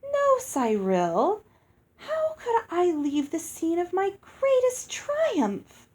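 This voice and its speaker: A woman's voice: very high-pitched, wildly swooping pitch, slow pace, a little mellow, voice pushed up, heavily acted. A woman voicing a vain, beautiful storybook fairy in a musical, lilting, gushing and self-satisfied way. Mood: blissfully smug. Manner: gushing, breezily defiant. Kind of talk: acted children's storybook reading.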